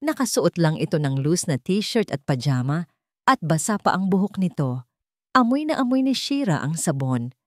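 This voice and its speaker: Female narrator for romantic audio fiction, lowish register, very animated delivery. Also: no echo, studio quality. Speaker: story narrator. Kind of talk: dramatic scripted narration of a romance story.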